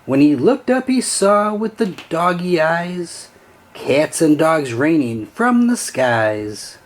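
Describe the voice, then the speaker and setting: A man reading a children's storybook aloud with an expressive, sing-song storytelling delivery. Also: on the high side, slow. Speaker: storybook reader.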